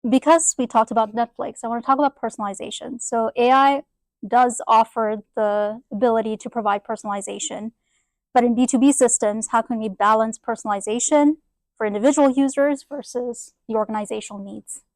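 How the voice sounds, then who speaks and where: A woman hosts a panel, asking brisk, upbeat questions in a slightly high voice. The recording is dry and close with no room sound. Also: fairly even intonation, no echo, rough recording. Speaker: moderator. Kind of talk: panel moderating.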